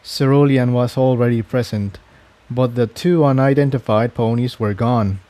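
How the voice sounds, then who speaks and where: A man's voice: mid-range voice, fairly even intonation, normal speed, warm tone, no room sound. A man narrating a scripted adventure story as an audiobook-style reading. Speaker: fan fiction narrator.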